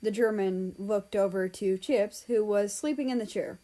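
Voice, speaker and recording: A woman's voice: mid-range voice, moderate pace, warm tone. A woman narrating a written story aloud, reading out the prose and the dialogue tags. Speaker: fan fiction reader.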